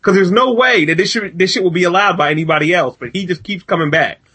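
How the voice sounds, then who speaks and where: A man's voice: high-pitched, quick pace, sharp tone, breathy edge. An American man co-hosting a casual film chat, reacting with incredulous, slangy, profane banter. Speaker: movie review podcast co-host.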